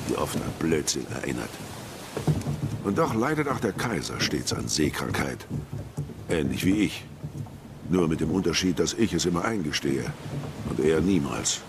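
A dry sardonic courtier, deep voice, really loud ship noise and clicks behind. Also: male voice. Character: sardonic male courtier on imperial yacht. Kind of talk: reading memoir in audio drama.

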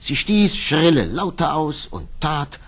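A man narrating a scripted fairy tale with expressive, dramatic storytelling. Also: fairly high pitch, slow, breathy edge.

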